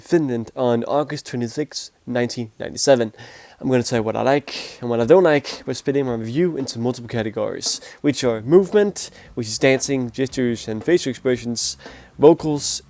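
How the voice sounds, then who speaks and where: Nasal, gravelly young man reviewing. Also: medium pitch, a little mellow. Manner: blunt, opinionated, grades with scores. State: sick, nasal voice. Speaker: music performance reviewer. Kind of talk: casual spoken video review.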